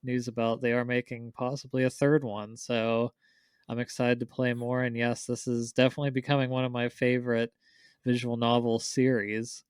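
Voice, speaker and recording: A man chats casually as a podcast co-host in a clean, smooth voice. His pitch is average and the recording is dry. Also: level tone, normal speed, no room sound. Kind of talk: casual gaming podcast chat.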